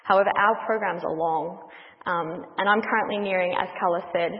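An Australian woman in her thirties, praying and then giving a personal testimony as a guest speaker, in an earnest, measured way. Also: on the low side, steady tone. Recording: dull audio with long hall reverb.

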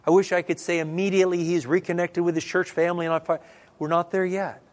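A middle-aged man, a pastor, telling stories in a sermon and acting out the dialogue in a lively way. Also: high voice, fast talker. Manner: lively storyteller who acts out dialogue. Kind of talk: storytelling sermon. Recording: long reverb in a large hall.

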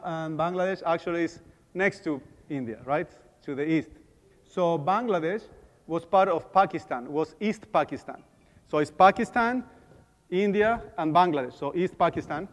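Man, middle-aged, halting speech, lots of fillers, fairly high voice. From Argentina. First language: Spanish. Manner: halting, many fillers and restarts. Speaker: dentist giving a talk. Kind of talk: conference talk with prayer. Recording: echoey hall.

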